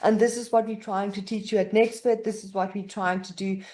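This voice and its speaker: Woman webinar host, mellow tone, chatty with many fillers and restarts, on a computer mic. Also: medium pitch, steady tone, fairly quick. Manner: conversational, frequent fillers and self-corrections. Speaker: university webinar presenter. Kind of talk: presenting a live webinar. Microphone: computer mic on a video call.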